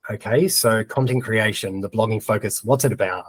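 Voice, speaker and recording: A man's voice: lowish voice, moderate pace, no room sound. A man with a New Zealand accent giving a casual screen-share tutorial, chatting and thinking aloud as he types, with plenty of ums. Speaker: presenter of a webinar.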